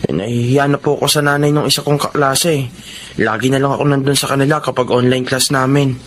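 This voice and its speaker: An actor playing a timid, polite schoolboy in a Filipino radio drama, speaking hesitantly and respectfully. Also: male voice, mid-range voice, steady tone, a little fast, a little hiss or hum, natural voice. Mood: timid, pleading. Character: timid, polite schoolboy son asking his mother.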